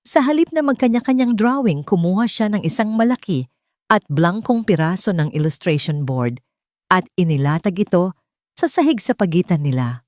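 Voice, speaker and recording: A woman's voice: on the low side, very animated, moderate pace, darkish tone, dry recording, studio quality. A woman with a Filipino accent reading a scripted story as a dramatic audiobook narration.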